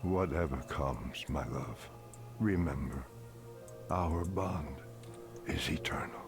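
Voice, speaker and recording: An actor playing a grave, devoted ancient night elf druid in a fantasy game, solemn and measured. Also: male voice, extremely low pitch, gravelly, full character voice. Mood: tender, grave. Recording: game music behind.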